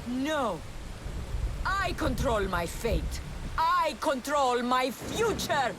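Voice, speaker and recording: A woman's voice: sits high, animated, drawn-out words, noisy background, heavily acted. An actress playing a fierce, wounded young woman assassin in a dramatic video game cutscene, speaking with intensity. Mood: defiant. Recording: loud rainstorm and thunder behind. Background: heavy rain, thunder.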